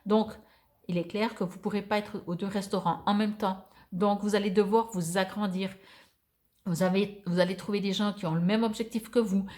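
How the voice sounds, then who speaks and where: A woman's voice: medium pitch, steady tone, moderate pace, a little mellow. A woman giving a weekly oracle card reading, thinking aloud with many hesitations as she reads card texts and comments on them.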